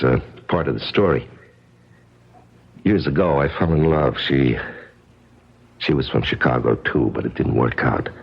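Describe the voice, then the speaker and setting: An injured American drifter in a 1970s radio drama, evasive and wry. His voice is deep, with a lot of gravel, and he talks in a halting, colloquial way. The recording is muffled and lo-fi, like an old broadcast. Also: exaggerated voice.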